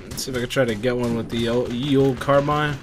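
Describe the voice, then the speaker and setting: A man's voice: mid-range voice, steady tone, fast, noisy background. A man giving casual let's-play commentary, informally muttering to himself as he plays. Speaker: gamer playing and commenting. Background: game gunfire and battle ambience.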